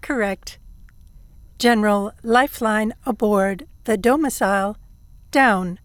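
A woman playing a calm, dutiful ship computer in an audio drama, reporting in a measured, formal, emotionless way. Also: mid-range voice, no room sound, lightly acted. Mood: impassive.

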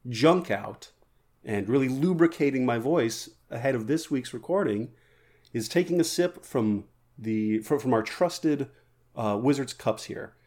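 Male podcast co-host, rambling and jokey, average pitch, easygoing storyteller. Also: darkish tone. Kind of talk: casual podcast banter.

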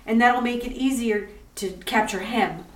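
A woman's voice: a little high, moderate pace, distant mic, close to an everyday voice. A woman playing a poised, affectionate sleuth's wife in a retro radio comedy, coaxing and playful. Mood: encouraging. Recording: echoey room, off-mic, background noise.

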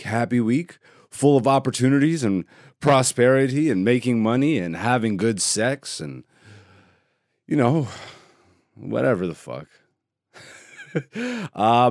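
A male comedian hosting a podcast alone, talking in a laid-back, profane way and laughing, with sudden loud excited bursts. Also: medium pitch, no echo, studio quality. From Greece. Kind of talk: casual solo podcast chat.

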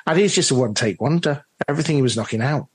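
A man's voice: medium pitch, lively intonation, very fast, breathy edge, no echo. A man being interviewed as a drama director, chatting in an enthusiastic, emphatic way. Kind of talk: animated interview chat.

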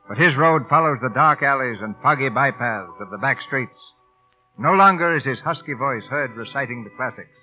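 Music swells behind a male radio host of medium pitch. He narrates dramatically from a script, and the sound is narrow and tinny like an old broadcast.

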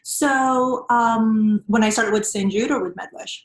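A woman's voice: a little high, unhurried, reverberant, low-quality recording. A woman from Venezuela with a Venezuelan Spanish accent, answering interview questions with earnest, reflective personal storytelling. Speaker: interview guest, nonprofit professional.

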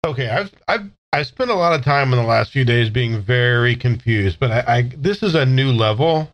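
A man playing a confused old soul in a younger body for a tabletop game, speaking in halting stammers. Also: medium pitch, animated, close to an everyday voice. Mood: baffled.

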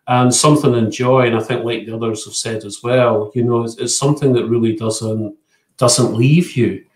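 Man in his fifties, hesitant, restarts often, roomy echo, video call. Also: medium pitch. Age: 50s. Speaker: panelist, medium. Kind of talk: online panel discussion. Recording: echoey room.

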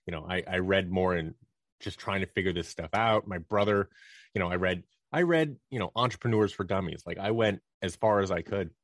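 A man's voice: low voice, a little fast, no echo, clean studio sound. A man talking casually as an interview guest, explaining his views and thinking aloud in a conversational way. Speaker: podcast guest, audio drama producer and performer. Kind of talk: casual interview talk, explaining opinions and experience.

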